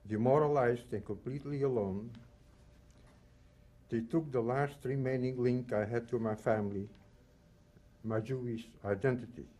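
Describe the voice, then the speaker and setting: A man in his eighties from the Netherlands and Belgium, reading a prepared personal testimony in measured, solemn short phrases. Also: medium pitch, steady tone, warm tone. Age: 80s. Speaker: Holocaust survivor giving testimony.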